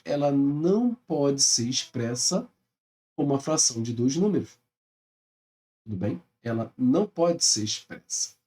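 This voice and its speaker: A man's voice: mid-range voice, darkish tone. Brazilian man explaining math, slightly distant. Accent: Brazilian Portuguese. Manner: explains step by step, checks in with "tá bom?" and "né?". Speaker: math teacher. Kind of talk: recorded math lecture.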